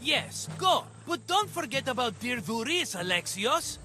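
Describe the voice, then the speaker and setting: An actor playing a shifty, glib merchant and schemer in a theatrical video game style. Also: male voice, extremely high pitch, big pitch swings, a little slow, bright, forceful tone, faint music behind, full character voice. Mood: breezy. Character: shifty, wheedling male merchant and wine-loving schemer. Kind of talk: video game cutscene acting.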